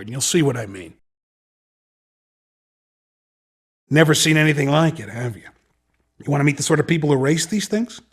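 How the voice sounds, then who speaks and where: Skid, high, raspy hoverboard hustler. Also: male voice, heavily acted. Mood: persuasive, encouraging. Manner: slangy, cocky sales-pitch patter. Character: Skid, upbeat young male hoverboard race promoter and racer. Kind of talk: scripted video game character dialogue.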